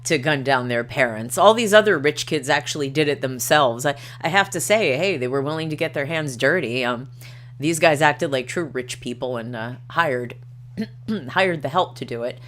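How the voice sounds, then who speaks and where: A woman's voice: rumbling low, animated, normal speed, fairly bright tone. A middle-aged woman hosting a casual livestream, reading articles aloud and commenting in a chatty, digressive way with wry asides. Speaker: livestream host.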